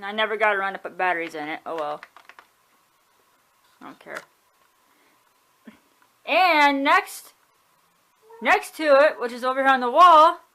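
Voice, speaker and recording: A woman's voice: fairly high pitch, bright, forceful tone, a little hiss or hum, rough recording. A woman casually showing off her collection at home, chatty and offhand, often correcting herself and shrugging things off. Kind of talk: casual home video tour. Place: room at home.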